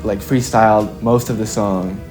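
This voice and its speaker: Low-voiced young man. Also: fairly even intonation, darkish tone, soft background music. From Chile. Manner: reflective, halting, with frequent "like" and "kinda". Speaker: musician being interviewed. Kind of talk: casual interview answers.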